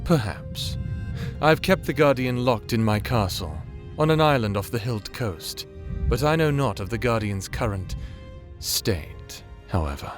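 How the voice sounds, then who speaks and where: A man playing a haughty, menacing dark lord in a fantasy audio roleplay, speaking in a formal, imperious, condescending way. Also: mid-range voice, expressive, a little slow, over music, close to an everyday voice. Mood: cool, probing.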